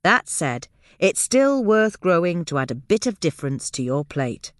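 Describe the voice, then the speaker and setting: Female narrator for scripted gardening podcast: animated pitch movement, measured explanatory read, very dry close sound. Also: no room sound.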